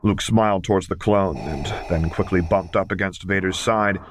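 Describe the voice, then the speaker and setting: A man with a very deep voice narrates an audiobook story. His delivery is scripted storytelling, recorded with faint noise in the background. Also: normal speed. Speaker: audiobook reader.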